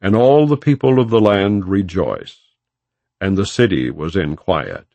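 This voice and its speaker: A man narrating scripture as an audiobook, in a solemn, formal and measured way without dramatizing it. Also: low voice, expressive, warm tone, no room sound, dull audio.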